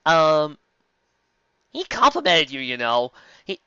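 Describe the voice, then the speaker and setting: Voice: medium pitch, big pitch swings, slow, pushed and bright, clear, resonant tone, no room sound, dull audio. A theatrical, exasperated host giving a comic dramatic reading of fan fiction, with asides.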